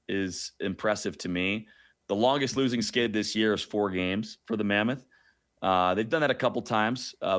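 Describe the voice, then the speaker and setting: Male sports analyst, lower register, informal guest-interview delivery with wry asides. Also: a bit bright. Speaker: radio play-by-play announcer as guest analyst.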